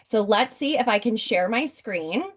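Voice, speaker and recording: Upbeat woman in her forties pitching on a video call. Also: expressive, a little slow. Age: 40s. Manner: upbeat, chatty, keeps addressing the audience directly. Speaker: network marketing presenter. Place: home.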